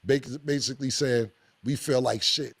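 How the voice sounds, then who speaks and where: Blunt gravelly New podcast host. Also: male voice, normal speed, no echo. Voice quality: deep voice. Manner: blunt, animated, swears freely. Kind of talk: casual opinionated podcast talk.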